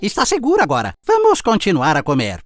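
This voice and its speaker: A woman's voice: mid-range voice, wildly swooping pitch, fast, faint music behind. A woman with a Brazilian accent voicing a boastful, disdainful male city mouse in a children's cartoon fable. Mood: matter-of-fact.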